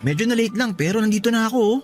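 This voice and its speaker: A man plays a young Filipino boyfriend on the defensive. His voice sits high for a man and he talks quickly and dismissively. Faint music runs under the radio drama dialogue. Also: animated. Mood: defensive, irritated.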